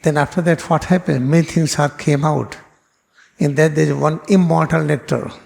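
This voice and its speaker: Man with gravelly voice. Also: fairly high pitch, steady tone, moderate pace, a little mellow. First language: Hindi. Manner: earnest explaining, repeats phrases, non-native phrasing. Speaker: spiritual teacher. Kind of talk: spiritual class lecture.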